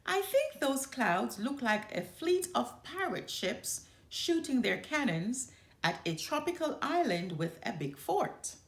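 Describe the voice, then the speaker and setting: A bragging young girl bear cub from a picture book, as a woman reads her aloud. She is competitive and a bit grumpy, speaking emphatically in a high, swooping voice in a roomy space. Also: big pitch swings, slow pace, vocal fry, full character voice. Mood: smug. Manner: bragging, one-upping, emphatic.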